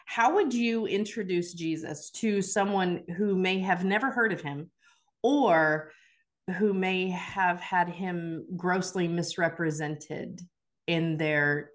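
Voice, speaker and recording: A woman with a slightly low voice hosts a faith-based interview. She opens with a spoken prayer and then asks earnest, gently worded questions. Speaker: host.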